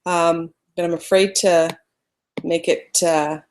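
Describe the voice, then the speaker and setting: A woman's voice: lowish voice, little pitch movement, slow pace, a little hiss or hum. A woman hosting a live photography webinar, chatting through screen-sharing trouble on a computer mic. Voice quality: loud voice. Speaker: webinar presenter teaching photography. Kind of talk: casual live webinar teaching.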